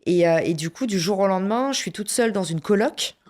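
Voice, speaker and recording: A French woman telling a personal story in a casual interview, lively and slangy, with many fillers and restarts. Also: mid-range voice, a little fast. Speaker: podcast guest, entrepreneur.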